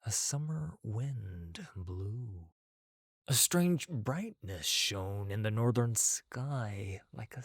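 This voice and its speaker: A man narrating a fantasy audio drama in a solemn, measured, dramatic storytelling manner, letting phrase endings drop to a hush. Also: fairly low pitch, gravelly, slightly rough.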